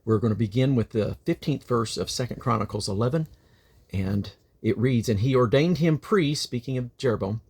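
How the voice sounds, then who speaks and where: A man's voice: mid-range voice, darkish tone, husky. A man reading the Bible aloud as a dramatic narrator, declaiming quoted speech with emphasis. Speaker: Bible reader.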